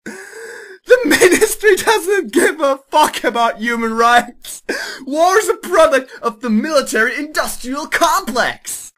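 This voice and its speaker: Woman playing furious teen revolutionary, high voice, wildly animated, indignant yelling, parody audio story. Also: slow pace, full character voice. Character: furious, self-righteous teenage communist student. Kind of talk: amateur dramatic reading of parody fan fiction.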